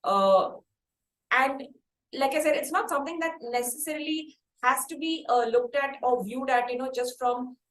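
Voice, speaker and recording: Higher-pitched Indian woman host, echoey room. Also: moderate pace. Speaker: interview host. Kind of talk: conversational music interview. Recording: echoey room, faint background noise.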